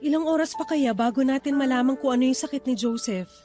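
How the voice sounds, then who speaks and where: A female actor as an anxious young mother in a radio drama. Her voice is high, her delivery worried and questioning, and soft music plays faintly behind her. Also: fast talker, natural voice.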